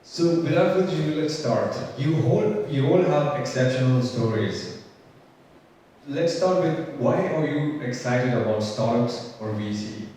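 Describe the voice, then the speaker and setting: A man's voice: mid-range voice, expressive, warm tone. A man moderating a panel, asking questions and praising the guests. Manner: encouraging, quick praise of guests. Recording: echoey hall, distant mic, faint hum.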